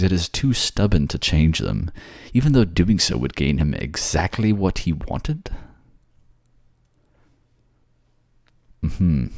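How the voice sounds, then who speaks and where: A man's voice: sits low, a little mellow, dry recording, studio quality. A man playing a suave, manipulative fantasy ruler who coaxes his captive in an intimate ASMR audio drama. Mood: insinuating. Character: suave manipulative male water-folk ruler, soothing captor.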